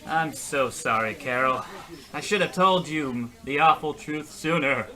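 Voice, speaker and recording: A man's voice: fairly high pitch, unhurried, sharp tone, rough recording. A man playing an oblivious, self-pitying husband in a comedic radio play, earnest, defensive and rambling. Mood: apologetic, self-pitying. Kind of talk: comedic old-time radio play acting.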